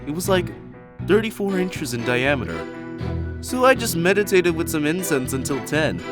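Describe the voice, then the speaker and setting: A man voicing a spacey, laid-back male yak who rambles in a dreamy, drawn-out way. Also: a little high, big pitch swings, music in the background, voice pushed up.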